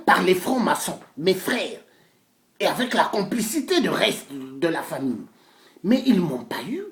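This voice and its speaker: A man delivers an impassioned political monologue, declamatory and boastful, repeating phrases for emphasis. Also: sits high. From Congo-Brazzaville. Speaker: self-styled pastor and political activist. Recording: echoey room sound.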